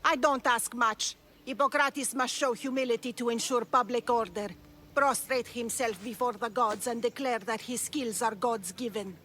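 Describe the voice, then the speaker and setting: A woman's voice: on the high side, steady tone, normal speed, bright, forceful tone, slight background noise. A woman playing a haughty, commanding and threatening ancient Greek priestess in a video game. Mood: furious, threatening. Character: imperious, threatening female priestess of ancient Greece. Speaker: game character. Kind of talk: video game cutscene acting.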